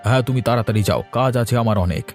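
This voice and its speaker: A man's voice: mid-range voice, animated, racing, a little mellow, faint music behind, lightly acted. A man voicing a stingy, irritable middle-aged father in a cartoon, curt and grumbling. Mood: grudging. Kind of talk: cartoon voice acting.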